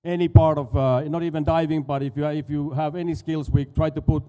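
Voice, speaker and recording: A man's voice: a little high, level tone, brisk, dark tone, smooth. A Finnish-accented man in his forties giving a casual expo stage talk, often stumbling and repeating words. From Finland. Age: 40s. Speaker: conference speaker, dive school owner.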